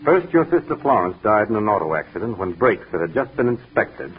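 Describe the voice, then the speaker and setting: An actor playing a stern, dogged police detective in 1940s radio mystery style, insistent, formal and accusing. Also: male voice, lowish voice, sing-song, fairly quick, husky. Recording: tinny, muffled 1940s radio recording.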